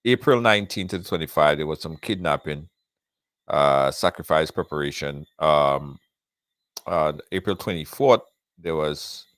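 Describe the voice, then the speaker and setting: A man hosting a live call-in show, brisk with callers and often pausing on fillers. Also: sits low, dry recording. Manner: brisk, talks over guests, hesitant fillers. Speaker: talk show host.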